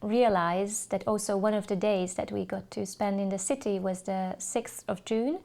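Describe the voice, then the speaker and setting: A Hungarian woman speaking English as a podcast guest, telling stories thoughtfully with many pauses and restarts. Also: medium pitch, steady tone, vocal fry, a little mellow. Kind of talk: casual interview chat.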